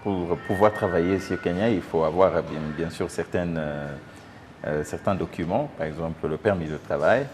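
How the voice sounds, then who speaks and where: A man's voice: sits low, normal speed, darkish tone. A man, interviewing conversationally and hesitantly, repeating words as he forms questions. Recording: noisy, echoing hall sound with long reverb.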